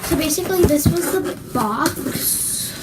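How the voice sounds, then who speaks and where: A young woman chats slowly about slime in a relaxed, apologetic way. Her voice sounds worn, like she is losing it. Her pitch sits a little high, and loud steady noise runs under the recording. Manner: apologetic, chatty, drawn-out words. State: losing her voice, clearing her throat. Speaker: slime reviewer. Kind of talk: casual product review vlog.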